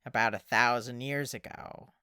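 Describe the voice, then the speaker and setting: A woman voicing a serene, immortal elder-sister pony ruler in a parody cartoon, formal, airy and gently wry. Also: extremely low pitch, fairly even intonation, normal speed, clean tone, no room sound, clean studio sound. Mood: explaining. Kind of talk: animated parody voice acting.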